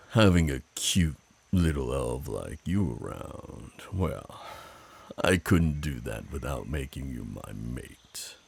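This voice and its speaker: Man acting as a male werewolf, extremely low pitch, slow drawn-out pacing, husky, teasing, protective of his forest, intimate ASMR roleplay. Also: exaggerated voice. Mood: teasing, seductive. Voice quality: very deep, gravelly, husky. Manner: slow, teasing, chuckling, deliberate pauses.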